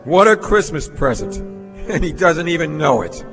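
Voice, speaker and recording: A man's voice: on the high side, animated, normal speed, creaky. An actor playing a greedy, domineering businessman in a stage radio play, with a smug, cutting, commanding manner. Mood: gloating. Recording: reverberant theatre hall, music in recording.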